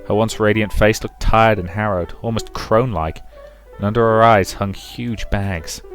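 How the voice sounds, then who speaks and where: A man's voice: fairly low pitch, normal speed, a bit bright, faint music behind. A man doing a let's play, reading a visual novel aloud and dropping in casual, chatty asides.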